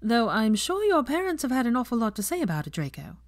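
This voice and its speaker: A woman's voice: on the high side, very animated, fast, no echo, clean studio sound, voice pushed up. A woman voicing a knowing, wry grandmother in a story reading, matter-of-fact in manner. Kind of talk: fan fiction audiobook character voice.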